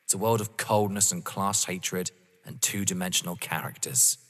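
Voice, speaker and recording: A man acting a defiant, contemptuous, wounded rival in an audio drama, husky and emphatic. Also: deep voice, normal speed, fairly bright tone. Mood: cold contempt. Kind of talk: dramatic stage-play acting. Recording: long echoing reverb.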